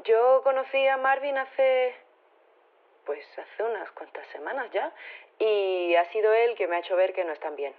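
An actress playing an earnest, flustered robotics teacher turned activist, talking hesitantly and chattily over a phone filter in an audio drama. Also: female voice, a little high, expressive, normal speed. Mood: earnest, indignant. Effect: telephone filter. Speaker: phone-in interviewee. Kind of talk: radio interview in audio fiction. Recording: thin, narrow phone line.

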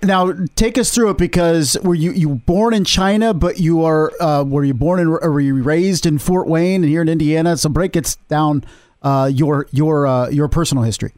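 A man's voice: fairly high pitch, darkish tone, dry recording, studio quality. A man hosting a casual interview podcast, asking loose questions and restarting them often. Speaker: podcast host.

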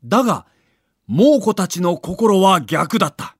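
Male narrator, high voice, measured pace, dramatic sports documentary style, emphatic delivery. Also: sing-song, slightly rough, no room sound, studio quality. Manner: theatrical, emphatic storytelling.

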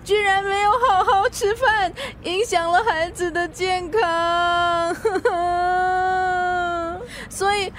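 A woman's voice: very high-pitched, steady tone, extremely slow, fairly bright tone, slight background noise, exaggerated voice. A woman acting in a radio drama as an emotional, pouty pregnant young wife. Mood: tearful, self-blaming. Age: young adult. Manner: tearful, pouting delivery.

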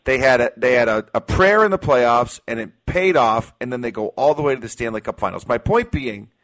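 An American man hosts a sports talk show with a medium-pitched voice. He argues his points emphatically, repeating key phrases for weight. His delivery is that of an opinionated radio host. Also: expressive, fairly quick, fairly bright tone.

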